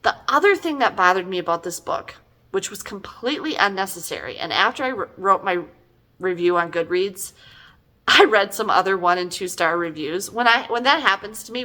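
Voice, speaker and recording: A woman's voice: on the low side, sharp tone. A middle-aged woman giving a casual, chatty book review in an exasperated, emphatic, conversational way.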